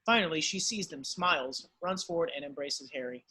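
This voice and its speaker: Bright, higher-voiced man narrating a script. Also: a little fast, breathy edge, poor audio. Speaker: narrator reading stage directions. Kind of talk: dramatic scripted narration of a screenplay.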